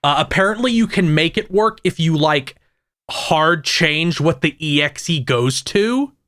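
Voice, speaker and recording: A man's voice: a little high, animated, a bit bright, no echo, clean studio sound. Chatty man rambling about games. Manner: rambling, many restarts and fillers, quick asides. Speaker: podcast co-host. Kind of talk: casual gaming podcast chat.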